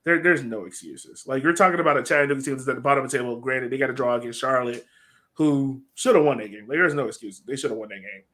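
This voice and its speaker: A man's voice: mid-range voice, fast talker, a bit bright. A man co-hosting a soccer podcast, chatting casually through analysis and repeating phrases for emphasis. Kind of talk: casual sports analysis chat.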